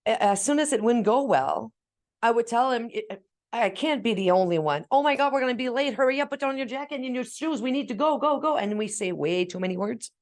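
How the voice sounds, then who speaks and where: A middle-aged woman chatting casually as a co-host, telling stories in an animated, emphatic way and acting out what people said. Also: on the high side, a little fast. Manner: animated storyteller, acts out quoted exchanges; talkative, emphatic, self-described loud speaker. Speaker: parent sharing parenting tips as co-host. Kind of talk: casual conversational talk.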